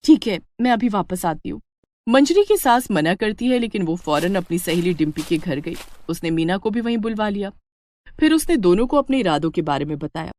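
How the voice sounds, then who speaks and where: A woman narrating a scripted moral story in an expressive storytelling manner. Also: on the low side, fairly quick.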